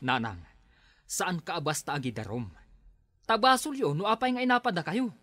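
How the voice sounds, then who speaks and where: A man playing an earnest young schoolteacher, an embarrassed son who pleads for calm, in a radio drama. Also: high-pitched, normal speed, no room sound, studio quality. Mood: pleading. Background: music.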